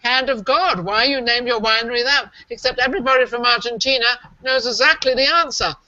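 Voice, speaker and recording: A woman's voice: fairly high pitch, brisk, pushed and bright, dull audio. A woman hosting a talk show, who praises her guest effusively.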